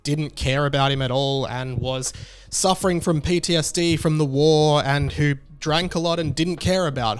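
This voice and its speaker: A man's voice: a little high, smooth. A young man with an Australian accent giving earnest, reflective video essay commentary.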